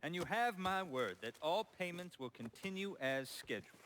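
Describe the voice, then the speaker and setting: Cold, precise mob underboss, swooping pitch. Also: male voice, lively intonation, normal speed, a bit bright, slight background noise. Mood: controlled, placating. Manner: formal, precise, clipped phrasing. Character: cold, fastidious male mob underboss, controlled and menacing. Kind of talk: video game cutscene acting.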